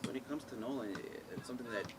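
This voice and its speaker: A man's voice: mid-range voice, very fast. A male sports reporter asking long, rambling press questions. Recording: distant mic, loud crowd.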